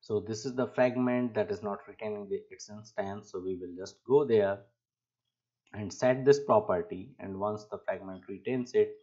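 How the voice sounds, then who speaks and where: Man, lowish voice, programming tutor, halting step-by-step delivery, unscripted screencast, muffled lo-fi recording. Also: dark tone. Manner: halting, step-by-step explaining while typing. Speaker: programming instructor.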